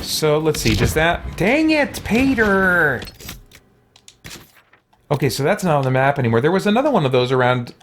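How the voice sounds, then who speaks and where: A man's voice: a little high, sing-song, moderate pace, long pauses, fairly bright tone, slight background noise. A man hosting a casual let's play, thinking aloud and exclaiming as he goes. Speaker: gaming channel host.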